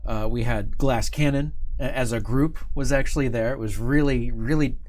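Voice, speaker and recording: A man's voice: medium pitch, normal speed, darkish tone. Enthusiastic man hosting, noisy background. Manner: enthusiastic, rambling, repeats himself. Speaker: host of a company livestream show. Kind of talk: casual unscripted chat and interviewing. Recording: clearly audible background noise.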